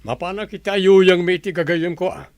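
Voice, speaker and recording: A man's voice: high voice, brisk, no room sound, muffled recording, close to an everyday voice. An actor playing a selfish, scornful village man in an Ilocano radio drama, with an Ilocano accent. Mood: irritated, demanding.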